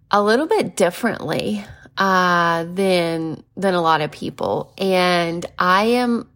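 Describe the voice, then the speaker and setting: A woman's voice: on the low side, fairly bright tone. A woman in her thirties hosting a casual solo podcast, chatty with lots of fillers and little laughs, her voice congested and nasal. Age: 30s. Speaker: podcast host.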